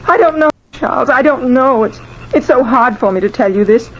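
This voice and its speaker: An actress playing a frightened, haunted young bride-to-be in halting, earnest radio-drama style. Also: female voice, sits high, expressive. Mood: frightened, pleading. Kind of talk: 1950s radio drama acting. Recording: dull, noisy old radio recording.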